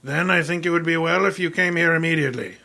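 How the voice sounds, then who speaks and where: An actor playing a brusque, shrewd, imperious detective boss in old time radio style, speaking formally and dryly with probing questions. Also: male voice, on the high side, fast talker, gravelly, pushed and bright. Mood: probing, cool.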